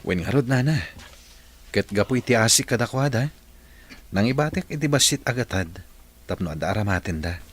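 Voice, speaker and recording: Low-voiced earnest young Ilocano man. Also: lively intonation, a little fast, pauses often. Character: earnest young man, respectful boss seeking his mother. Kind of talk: Ilocano radio drama acting. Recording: radio drama with noticeable background noise.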